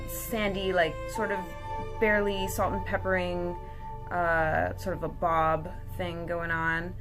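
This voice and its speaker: Woman telling stories theatrically over clear music. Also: lowish voice. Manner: dramatic, playful framing with informal asides. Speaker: storyteller host. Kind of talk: theatrical personal storytelling to camera.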